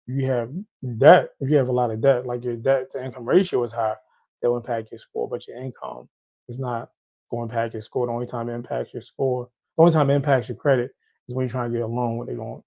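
A man with a Haitian accent talks through listener questions in an easygoing, rambling way. His voice is gravelly and dark, sitting at a middle pitch, and he often circles back to repeat a phrase. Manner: loose, repeats and restarts phrases. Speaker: host. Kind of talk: casual credit advice Q and A.

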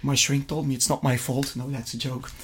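A middle-aged man from Flemish-speaking Belgium, a native Dutch speaker with a Flemish accent, answering interview questions conversationally and somewhat haltingly. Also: medium pitch, level tone, a bit bright, slightly rough. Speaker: interview guest, investment fund executive.

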